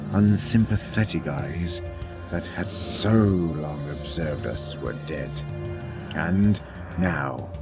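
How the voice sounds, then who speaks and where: A cold, menacing scientist villain in an audio drama, proud and resentful. The voice sits very deep and unhurried. Music plays clearly beneath him. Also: male voice. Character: ruthless male scientist villain, grandiose and resentful. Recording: music behind the voice.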